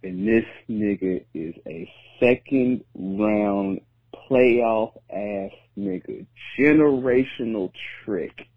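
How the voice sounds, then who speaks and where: A hoarse man who has lost his voice leaves a gloating, profane voicemail rant, cutting it like a wrestling promo. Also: fairly low pitch, dry recording. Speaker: voicemail caller. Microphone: phone. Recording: tinny phone voicemail.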